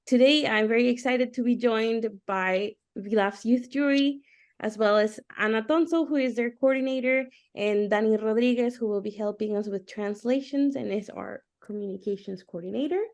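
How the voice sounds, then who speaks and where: Upbeat festival host, fairly high woman. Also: a little slow, darkish tone. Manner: upbeat, formal announcing. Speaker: festival managing director, moderator. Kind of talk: scripted event introduction.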